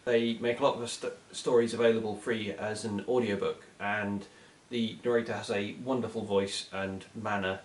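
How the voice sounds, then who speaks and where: A man co-hosting a casual book chat, giving recommendations in a hesitant, measured and thoughtful way. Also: fairly low pitch, steady tone. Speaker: book reviewer co-hosting short fiction recommendations. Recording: echoey room, distant from mic.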